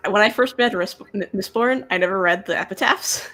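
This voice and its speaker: A woman's voice: medium pitch, brisk, fairly bright tone. A woman taking part in a casual book discussion over a video call, talking in an informal, chatty way. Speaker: book club participant. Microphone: internet video call.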